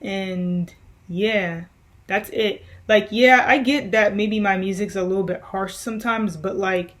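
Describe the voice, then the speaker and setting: A woman's voice: mid-range voice, unhurried, a bit bright. Rambling young woman answering fan questions. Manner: rambling, loose, frequent fillers and restarts. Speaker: musician answering viewer questions. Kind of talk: casual Q&A vlog.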